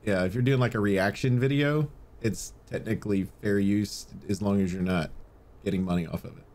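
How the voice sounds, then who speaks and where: A man's voice: lowish voice, brisk, darkish tone. A man co-hosting a podcast with casual banter and a wry, joking manner. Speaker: podcast co-host.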